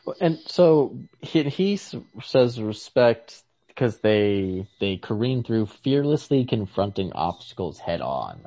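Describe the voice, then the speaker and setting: A man's voice: fairly low pitch, very animated, slow pace, dark tone, low-quality recording. A man in casual book discussion, rambling tentatively and restarting his sentences often. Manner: tentative, rambling with many false starts. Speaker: podcast host discussing short stories.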